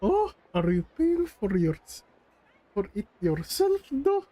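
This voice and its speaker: A woman's voice: mid-range voice, sing-song, slow, warm tone. A woman livestreaming a video game, chatting and reacting casually. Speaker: game streamer. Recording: game music behind the voice.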